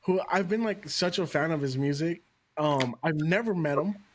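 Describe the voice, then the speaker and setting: A man's voice: fairly high pitch, normal speed, slightly rough. A man hosting a podcast, chatting casually and enthusiastically, swearing freely. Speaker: podcast host. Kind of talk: casual interview chat.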